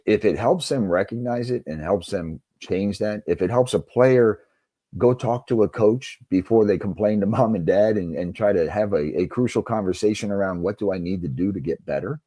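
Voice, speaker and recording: A man's voice: fairly low pitch, normal speed, mellow, no room sound. A middle-aged man answering as an interview guest in an earnest, conversational way, with frequent asides. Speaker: interview guest, basketball coach and author.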